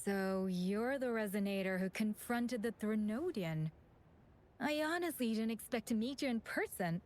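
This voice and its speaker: A woman's voice: medium pitch, animated, moderate pace, a little mellow, close to an everyday voice. An actress plays a female chief administrator in a story-driven video game. She is courteous and composed, speaking in a mid-range voice with an orderly, official manner.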